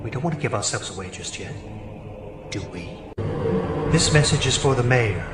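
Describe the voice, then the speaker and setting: Guy doing a cold menacing doctor villain, measured, echoey with music. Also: mid-range voice, lively intonation, lightly acted. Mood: cold, scolding. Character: cold, calculating scientist villain preaching fear. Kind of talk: villain character acting. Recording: roomy echo, background music.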